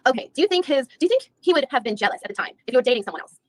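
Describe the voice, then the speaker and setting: A woman's voice: sits high, sing-song, rapid-fire, no room sound. A woman reading the part of a female attorney who questions a witness methodically, with frequent restarts. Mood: probing. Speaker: reader in a deposition reenactment. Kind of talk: read-aloud legal reenactment.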